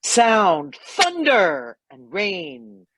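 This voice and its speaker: A woman's voice: mid-range voice, huge pitch swings, drawn-out words, a bit bright, no echo. A woman reading out sound effect cues from a radio play script. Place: live video call performance. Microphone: video call microphone.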